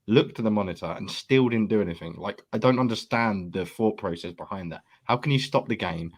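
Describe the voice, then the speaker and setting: A man arguing his point about a refereeing decision as a panelist on a casual football chat. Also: lowish voice. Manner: quick, building an argument point by point.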